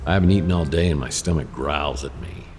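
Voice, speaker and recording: A man playing a wry, world-weary private detective, narrating his case deadpan in a hardboiled radio drama. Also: very deep voice, fast, in a large hall, faint music behind. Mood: wry, brooding. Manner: deadpan, sardonic.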